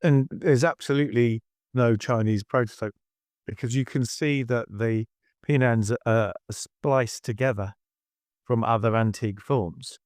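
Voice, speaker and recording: A man's voice: mid-range voice, steady tone, measured pace, darkish tone, no room sound, studio quality. A man who speaks firmly and argumentatively in a discussion. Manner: firm and argumentative.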